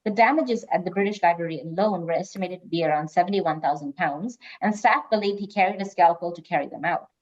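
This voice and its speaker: A woman with a fairly low voice works as a quiz host. She reads out questions and moderates informally, over slightly dull, lo-fi call audio. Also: fast talker, few pauses, a little mellow.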